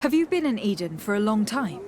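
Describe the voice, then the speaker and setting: A woman playing a courteous young noble diplomat in a video game, asking questions in a polite, measured way. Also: medium pitch, big pitch swings, a little mellow, slight background noise, close to an everyday voice. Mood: probing. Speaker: investigator.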